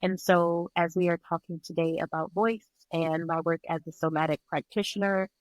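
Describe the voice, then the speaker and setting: A woman's voice: fairly low pitch, steady tone, moderate pace, dry recording, poor audio. A woman speaking as an interview guest, reflective and conversational, with an earnest, encouraging way of talking. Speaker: podcast guest, breathwork and yoga instructor.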